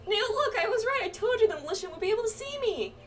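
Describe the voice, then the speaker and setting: A woman voicing a tiny, excitable female spirit companion in a game, gushing and bubbly. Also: very high voice, quick pace, a little hiss or hum, pitched above the performer's own voice. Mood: excited. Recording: distant mic, game music behind.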